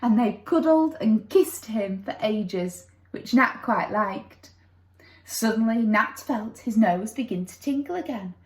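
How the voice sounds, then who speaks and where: A woman's voice: fairly high pitch, animated, takes it slowly. A woman reading a children's story expressively, with dramatic, playful narration, recorded in an echoey room. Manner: dramatic, playful with sound effects.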